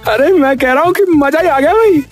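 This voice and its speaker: A cheerfully pushy village glutton acted by a woman chatters in a high-pitched voice. He repeats himself with folksy exclamations in cartoon-story style, with light music underneath. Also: brisk, close to an everyday voice. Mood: delighted. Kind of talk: Hindi cartoon story acting.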